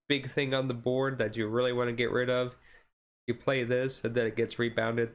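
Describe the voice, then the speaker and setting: A man giving a casual, halting ASMR-style commentary as a streamer, explaining things in a rambling way. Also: mid-range voice. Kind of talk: casual card deck review commentary.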